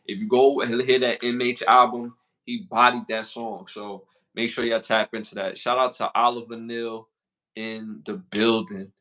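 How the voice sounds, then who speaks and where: Brooklyn man, podcast co-host, bright edgy tone, slangy and repetitive. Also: medium pitch, little pitch movement, moderate pace. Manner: slangy, repeats himself, reads out list questions. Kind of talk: casual podcast chat.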